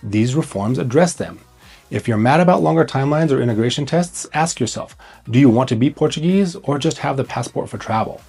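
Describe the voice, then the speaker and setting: A man's voice: medium pitch, animated, a little fast, vocal fry, a little mellow, breathy edge, faint music behind. A man presenting scripted advice to camera as a host, speaking bluntly and urgently in short, punchy, emphatic phrases. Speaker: host, immigration consultant.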